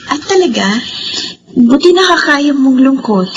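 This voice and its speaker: A woman playing an earnest young orphaned woman in a radio drama, speaking with deep emotion. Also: expressive, a little slow, dull audio, slight background noise. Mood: tender. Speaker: narrator of her own story. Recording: radio drama with music underneath. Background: soft music.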